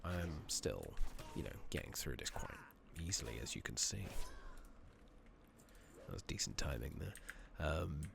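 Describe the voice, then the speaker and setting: A man doing soft-spoken, partly whispered ASMR game commentary, rambling along in a relaxed way as he thinks aloud. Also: extremely low pitch, unhurried, creaky, background noise. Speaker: game streamer, ASMR host. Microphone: makeshift new close microphone.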